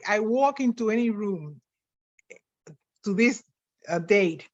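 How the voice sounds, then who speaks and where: A woman's voice: mid-range voice, fairly bright tone, no echo. Older woman with a Spanish accent. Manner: reflective, with frequent restarts and fillers. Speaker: panel speaker. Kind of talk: conversational panel talk. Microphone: video call. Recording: dry, dull lo-fi call audio.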